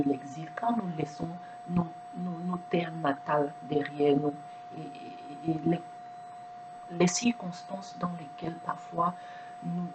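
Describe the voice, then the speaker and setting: A woman with a low voice whose first language is not French talks earnestly and reflectively, often restarting and repeating words as she searches for them. A steady hum runs clearly beneath her voice. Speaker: video presenter. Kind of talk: reflective monologue to camera.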